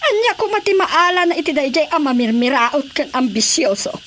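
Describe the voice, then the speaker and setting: A woman's voice: high-pitched, sing-song, fairly quick, a bit bright, slight background noise. A woman acting a stern, complaining lady of the house in a radio drama.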